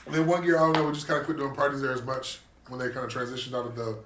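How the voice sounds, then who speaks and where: A man's voice: mid-range voice, very fast. Man, thirties, joking radio host, faint hiss behind. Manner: joking, storytelling, slangy. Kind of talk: casual radio chat.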